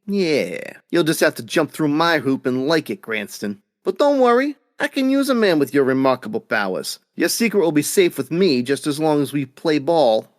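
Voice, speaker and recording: Guy doing old radio gangster boss, higher-ish voice, very animated, smug tough talk. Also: moderate pace, few pauses, no echo, clean studio sound. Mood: threatening. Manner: taunting, tough-talking, mock-polite. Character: cunning, smug male gangster boss, cool blackmailer. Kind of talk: old-time radio drama acting.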